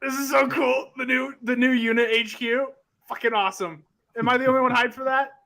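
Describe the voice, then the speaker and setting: An excitable man with a high, bright voice hosting a casual group chat. He talks eagerly and swears now and then in delight. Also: fairly quick, creaky. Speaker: podcast host.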